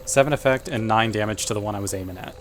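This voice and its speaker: A man's voice: fairly low pitch, fast, slight background noise. A man playing a tabletop role-playing game, talking casually as he works through his moves.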